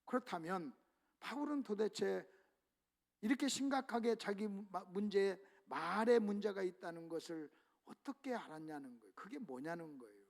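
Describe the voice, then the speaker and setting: A man's voice: high-pitched, animated, normal speed, gravelly. A male pastor preaching a revival sermon emphatically, often calling out to the congregation.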